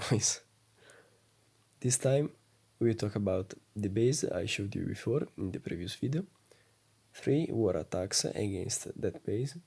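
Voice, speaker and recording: A man with a low, soft voice giving calm, methodical gameplay commentary as a voice-over. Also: gravelly, husky. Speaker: gaming channel host.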